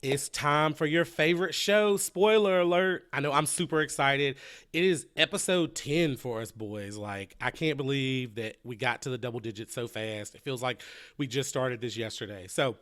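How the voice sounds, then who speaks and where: A man's voice: medium pitch, normal speed, a bit bright. A man hosting a casual podcast chat, upbeat and rambling, sounding stuffy from a cold. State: congested with a cold. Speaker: podcast host.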